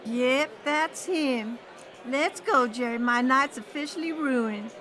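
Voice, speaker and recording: A woman's voice: high-pitched, takes it slowly, a bit bright, some chatter behind. A woman playing a bossy, bitter young wife in an audiobook drama, indignant and commanding. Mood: disgusted, furious. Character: bitter, bossy, furious daughter-in-law.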